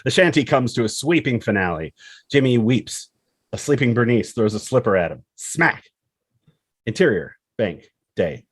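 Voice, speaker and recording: A man's voice: on the low side, slightly rough. A man reading screenplay stage directions as the narrator of a scripted table read. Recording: loud music under the voice. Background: loud instrumental music.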